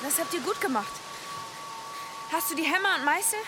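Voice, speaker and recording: A woman's voice: very high-pitched, expressive, moderate pace, over loud music. An actress in a German radio drama playing an earnest, practical young woman with a direct, sincere manner. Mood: brisk, practical. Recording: clear ambient noise behind.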